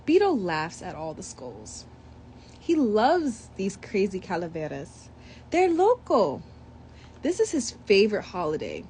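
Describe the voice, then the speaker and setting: A woman's voice: medium pitch, a little slow, slight background noise. A young woman reading a children's picture book aloud, breaking off for chatty asides. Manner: reads with lively asides and commentary. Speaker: storybook reader.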